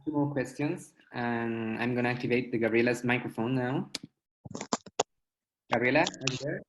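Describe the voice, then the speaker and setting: A man moderating a webinar, briskly handing the floor to the next questioner. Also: mid-range voice, noisy background. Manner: brisk, managing speakers. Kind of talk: hosting webinar Q&A.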